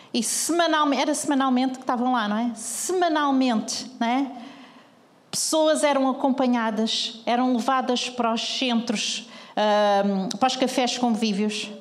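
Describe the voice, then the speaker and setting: Portuguese lady pastor preaching, earnest, lots of questions, big echoey church hall. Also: high voice. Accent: European Portuguese. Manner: questioning the congregation, earnest, rising to urgency. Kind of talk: sermon.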